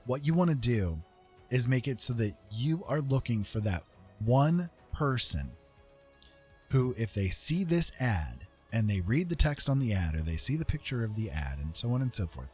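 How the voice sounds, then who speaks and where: A man's voice: mid-range voice, sing-song, moderate pace, slightly rough, faint music behind. A man giving casual advice to viewers as a livestream host, talking in an informal, rambling way and often checking in with the listener.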